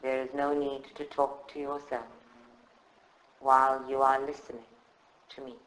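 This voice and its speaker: A man leading a guided meditation in a calm, soothing, measured way with long pauses. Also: medium pitch. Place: indoor room at a group meditation session. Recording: roomy, echoing live recording.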